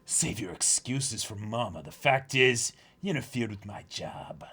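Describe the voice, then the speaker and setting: A man's voice: mid-range voice, animated, a little slow, pushed and bright, breathy edge, heavily acted. A man voicing a stern, menacing pirate commander in an amateur manga dramatic reading, with a theatrical, scolding delivery. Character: stern, menacing biscuit-soldier commander, a male pirate villain.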